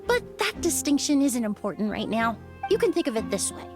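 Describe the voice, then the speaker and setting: An actress playing a composed, analytical young female investigator in a video game, explaining in a measured, precise way. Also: fairly high pitch, sing-song, over music. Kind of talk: video game voice acting, expository.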